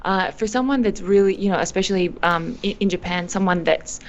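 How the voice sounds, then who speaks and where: A young woman from Australia answering interview questions, animated, with frequent fillers and restarts. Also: mid-range voice, a little fast, dull audio. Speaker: guest, company founder. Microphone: video call.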